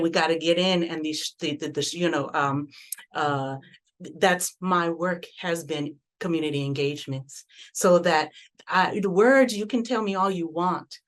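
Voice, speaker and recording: A woman from the American South speaking earnestly on a panel discussion, reflective and often restarting her sentences as she works out her thoughts. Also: sits low. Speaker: panelist and community arts researcher.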